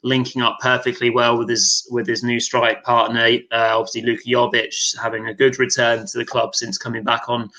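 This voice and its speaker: A man's voice: medium pitch, monotone, normal speed, few pauses, bright, forceful tone, poor audio. A man co-hosting a football podcast, talking casually in a rambling way with many fillers and restarts. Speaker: podcast co-host.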